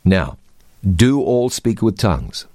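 A man's voice: lowish voice, very animated, slow, warm tone, no room sound, studio quality. A man teaching the Bible, reading scripture aloud and quizzing his co-host.

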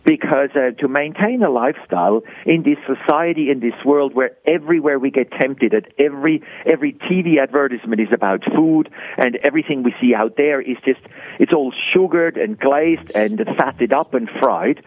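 A man from Switzerland, a guest explaining his health program in an earnest, explanatory way, often pausing and restarting sentences. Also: mid-range voice, no room sound. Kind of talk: conversational interview answers. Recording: dry, phone-like narrow sound.

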